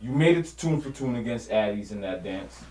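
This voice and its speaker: A man's voice: on the low side, lively intonation, fairly quick, dark tone, reverberant, low-quality recording. A man interviewing guests in a casual way, direct and willing to interrupt to steer the talk.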